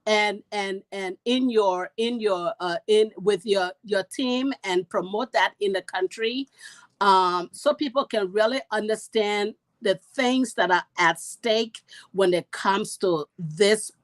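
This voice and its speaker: A woman's voice: mid-range voice, slow, no room sound. A woman with a Liberian accent, speaking as a panelist and earnestly urging voters, repeating phrases for emphasis. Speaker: panelist giving advice. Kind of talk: earnest appeal in a panel discussion.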